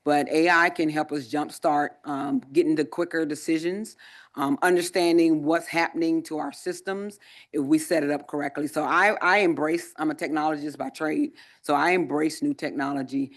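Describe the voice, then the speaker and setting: A man presenting at a conference, answering audience questions in a measured way with frequent pauses and ums. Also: on the high side, fairly even intonation, a bit bright. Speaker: conference presenter, Air Force technology leader.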